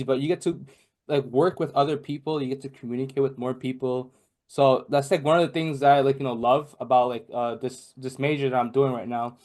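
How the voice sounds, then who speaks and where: A young man from Bangladesh, a podcast guest in casual chat, rambling with many fillers and restarts. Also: medium pitch. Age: young adult.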